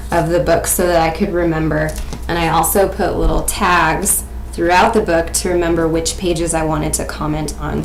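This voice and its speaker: Young woman teaching in an echoey room. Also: lowish voice. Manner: expressive, questioning. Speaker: teacher presenting a read-aloud. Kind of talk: interactive picture-book reading and explanation for children. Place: small domestic room indoors. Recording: echoey room, faint background noise.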